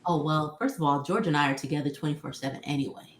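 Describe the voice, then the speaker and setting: A woman's voice: low voice, fast, a little hiss or hum. A woman guest in a casual conversation, open and chatty and emphatic. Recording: echoey room.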